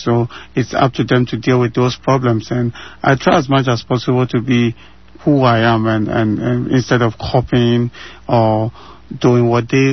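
A man's voice: mid-range voice, dry recording, highs cut off. A man in his thirties from Ghana with a Ghanaian accent, answering interview questions earnestly and repeating phrases for emphasis, heard over a remote line from his home. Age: 30s.

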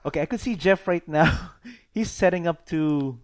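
A man's voice: on the high side, moderate pace. A man co-hosting a casual podcast, laughing often and rambling through restarts. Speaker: podcast co-host. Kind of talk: casual chat.

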